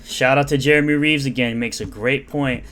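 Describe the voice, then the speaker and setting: A man's voice: medium pitch, steady tone, moderate pace, fairly bright tone. A man reading out and mocking comments in an unscripted, sarcastic rant. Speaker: commentary YouTuber.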